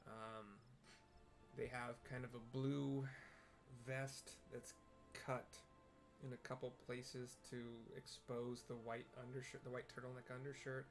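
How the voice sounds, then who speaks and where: A young man playing a video game and describing the scenes aloud for blind viewers, hesitant with many fillers and restarts. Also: mid-range voice. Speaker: gamer and audio describer. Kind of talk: casual let's-play with audio description.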